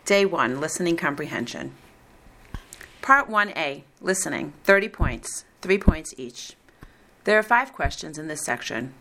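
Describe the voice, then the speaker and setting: A woman reading the script of a recorded listening exam in a slow, deliberate, evenly paced way. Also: low-pitched, animated, bright, forceful tone. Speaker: exam reader.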